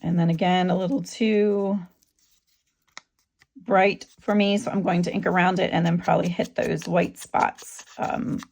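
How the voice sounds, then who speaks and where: Middle-aged woman, sore throat, low and gravelly, relaxed halting craft-tutorial host. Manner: relaxed, halting, with frequent ums. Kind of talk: casual craft tutorial narration.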